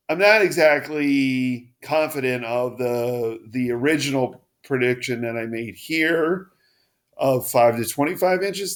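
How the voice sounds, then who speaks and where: A man giving a casual weather forecast over a map. His voice is froggy and hoarse, and he talks haltingly with many restarts. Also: medium pitch.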